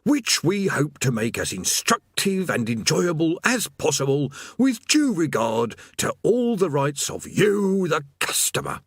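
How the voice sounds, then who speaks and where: A man's voice: sing-song, a little slow, dry recording, clean studio sound, full character voice. A man voicing a put-upon, mournful demon gatekeeper who grumbles like a tired petty official, in an audiobook story. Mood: menacing, forced politeness. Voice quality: rasping, rumbling. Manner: plodding recited customer-service patter breaking into chatty working-class grumbling.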